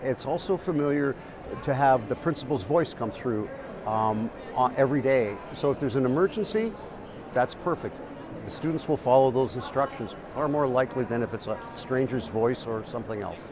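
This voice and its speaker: A man's voice: mid-range voice, animated, moderate pace, crowd noise. A man giving explanatory interview answers as an industry expert, often repeating words as he goes.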